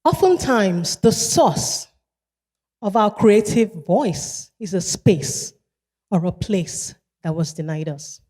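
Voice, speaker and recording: A woman with a Nigerian accent delivers a keynote in a large event hall, her warm, mellow voice trailing into a long reverb. She speaks in a measured way and repeats key phrases for emphasis. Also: mid-range voice, expressive. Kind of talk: motivational keynote speech.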